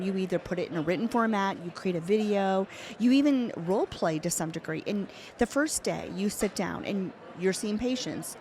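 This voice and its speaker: A woman's voice: medium pitch, a little mellow. A woman hosting a conversational interview, speaking as the interviewer. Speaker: interviewer, host. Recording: faint background ambience noise.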